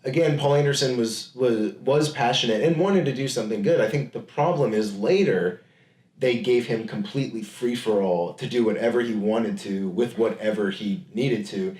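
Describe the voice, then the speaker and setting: A man co-hosting a podcast in casual chat, lively and quick to agree and add his own opinions. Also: mid-range voice. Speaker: podcast co-host. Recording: echoey room.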